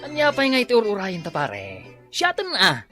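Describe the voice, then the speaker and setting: A man with an Ilocano accent playing a loud, mocking buddy in a comedic radio drama. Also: sits high, wildly swooping pitch, moderate pace, over loud music. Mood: mocking, jovial.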